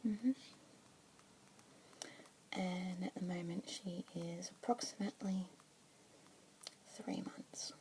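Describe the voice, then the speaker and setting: A young woman in a whispered ASMR roleplay as a pet adoption consultant, speaking slowly and gently in a soft unvoiced whisper. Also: on the low side, steady tone, slight background noise, poor audio.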